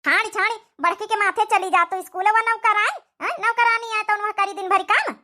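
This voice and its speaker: A woman's voice: very high voice, brisk. A woman voicing a scolding, indignant old village mother-in-law in a comic cartoon, with an Awadhi accent and nagging questions. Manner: nagging, repeats sharp questioning tags.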